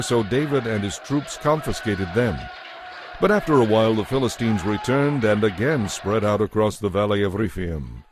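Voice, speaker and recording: A man narrating scripture from a script in a measured, solemn manner. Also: on the low side, background noise. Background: soft music.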